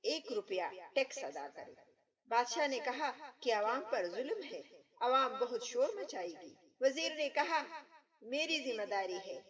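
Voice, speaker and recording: A woman's voice: on the high side, a bit bright, far from the mic, muffled recording. A woman narrating a scripted moral story as a storyteller and channel host.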